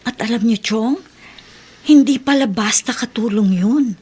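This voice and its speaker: A woman's voice: a little high, unhurried, mellow, husky. A Filipino woman playing a chatty, respectful teacher in a radio drama, telling things in a conversational, deferential way. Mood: confiding.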